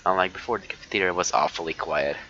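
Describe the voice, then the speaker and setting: A man live streaming a visual novel, reading it aloud haltingly and muttering casual asides. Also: deep voice, sharp tone, no echo. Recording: dull lo-fi mic with noise.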